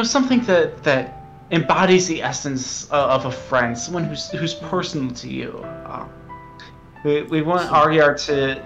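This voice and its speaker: Man, somewhat high pitch, earnest, hesitant stumbling explanations, repeated words, faint music. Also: fairly bright tone, lo-fi sound. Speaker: game developer being interviewed. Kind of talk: explaining a game in conversation.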